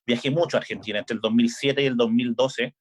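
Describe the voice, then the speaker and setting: A man's voice: medium pitch, steady tone, fast talker. A middle-aged Chilean man, a volleyball coach, talking casually in an interview with frequent hesitations and restarts. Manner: hesitant, many filler "eh"s and restarts. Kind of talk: relaxed interview chat.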